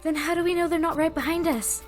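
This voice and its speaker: A woman's voice: very high voice, fairly even intonation, quick pace, faint music behind. An actress playing a guarded, sardonic young woman in an audio roleplay drama, with a dry, exasperated delivery. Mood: weary, wary. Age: young adult.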